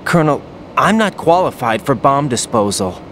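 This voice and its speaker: A man's voice: medium pitch, sing-song, normal speed, fairly bright tone, a little hiss or hum. An actor playing a young male soldier, an unsure rookie who keeps asking questions uneasily. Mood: uneasy. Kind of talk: video game cutscene dialogue.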